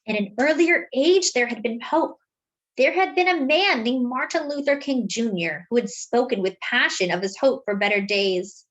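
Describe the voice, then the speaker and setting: Female storyteller for children's read-aloud: higher-pitched, very animated intonation, deliberate dramatic phrasing. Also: rough recording. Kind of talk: expressive picture book read-aloud.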